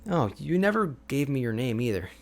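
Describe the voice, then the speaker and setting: Man acting as an energetic, friendly ice mage. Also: mid-range voice, a little fast, vocal fry. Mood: encouraging, friendly. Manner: animated, chatty, informal. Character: energetic young male ice mage, friendly enthusiastic mentor. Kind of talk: ASMR roleplay audio drama, addressing the listener.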